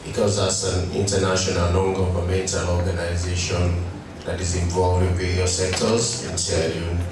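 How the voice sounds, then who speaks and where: A man speaking for an organization at a conference, delivering a deliberate, halting formal speech with frequent fillers. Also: low-pitched, completely flat delivery, over music. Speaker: NGO representative giving a speech. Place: conference hall. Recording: echoey hall from far off, noisy.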